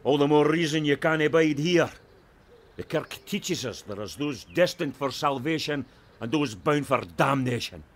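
A man's voice: fairly high pitch, animated, moderate pace. An actor playing a stern, pious, wrathful Scottish father, harsh and preaching, in a radio play. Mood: stern, angry. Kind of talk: radio drama acting.

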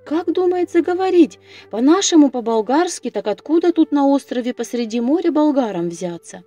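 A woman's voice: high voice, animated, normal speed, dark tone, no room sound, faint music behind. A woman voicing a puzzled, wary young peasant castaway in a storybook tale, with a wondering, questioning manner.

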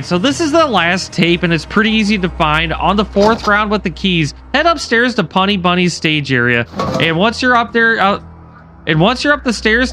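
A man's voice: high voice, pushed and bright, slight background noise. A man hosting a gaming video, explaining lore and walkthrough steps directly to his viewers. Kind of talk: scripted game lore commentary. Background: faint background music.

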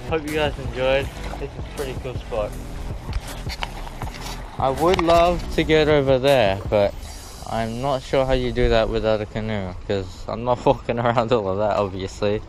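A man's voice: mid-range voice, moderate pace, low-quality recording. A teenage man chatting casually into an action camera while exploring a windy waterfront, with hesitant asides and little laughs. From Australia. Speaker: vlogger. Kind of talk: casual vlog narration. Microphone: action camera on hand or head. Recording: wind noise, music underneath.

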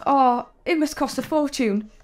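An actress playing a practical, worried young mother, brisk and plain-spoken, in an audio drama. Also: high voice.

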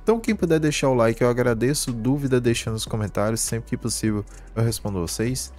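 A man's voice: medium pitch, a little fast, darkish tone, faint music behind. A man with a Brazilian Portuguese accent talks through an informal gaming tutorial step by step, with colloquial verbal tags. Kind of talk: informal screen-share tutorial with live commentary.

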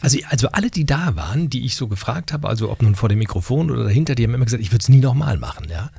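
A man's voice: mid-range voice, lively intonation, very fast, creaky, dark tone, breathy edge, no echo. A middle-aged man hosting a casual radio interview in a teasing, jovial manner, close on a studio microphone. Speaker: radio talk show host. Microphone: close broadcast microphone on boom arm.

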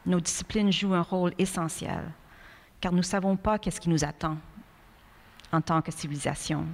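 Woman, middle-aged academic keynote speaker; lowish voice, earnest and measured, echoing hall. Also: fairly even intonation, vocal fry. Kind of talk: prepared academic keynote speech. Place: indoor university hall at a lectern. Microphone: microphone on a stand, close in front. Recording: reverberant hall through microphone.

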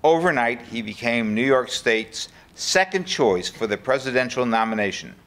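An eyewitness to political history, played by an older man recounts events in a staged radio play. The male voice is medium in pitch, with a measured storytelling delivery. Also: expressive, fairly bright tone. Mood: recounting. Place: indoor hall with seated audience. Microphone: lectern microphone.

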